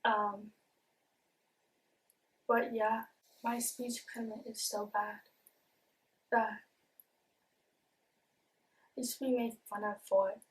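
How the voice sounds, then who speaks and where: A young woman talks to the camera in a fairly high voice, with a speech impediment that softens some sounds. She is earnest and open and keeps restarting with ums and repeated words. She is picked up by a camera mic set a bit away from her. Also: flat delivery, slow, long pauses, distant mic, poor audio. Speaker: vlogger. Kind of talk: casual confessional talk to camera.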